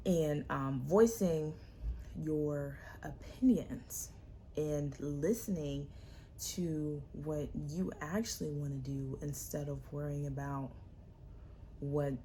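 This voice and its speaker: Young woman podcast host, low gravelly voice, sassy and emphatic, faint hiss behind. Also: slow pace, rough recording. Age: young adult. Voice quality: loud, projecting voice. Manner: animated, sassy, emphatic repetition. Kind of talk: casual solo podcast talk.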